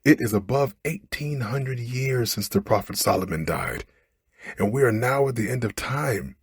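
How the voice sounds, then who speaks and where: A man acting out a plaintive, husky fisherman. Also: mid-range voice, lively intonation, moderate pace, dry recording, clean studio sound, voice pushed up. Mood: bold, indignant. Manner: archaic, plaintive, dramatic speech. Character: poor fisherman, lamenting and pleading, bold when startled. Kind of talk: storybook character read aloud.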